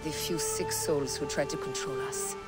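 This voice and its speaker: An actress plays a resolute ancient Egyptian woman who leads assassins. Her voice is low and measured, with a solemn intensity suited to game drama. Music plays clearly behind her. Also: fairly even intonation, close to an everyday voice. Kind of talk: dramatic game cutscene acting.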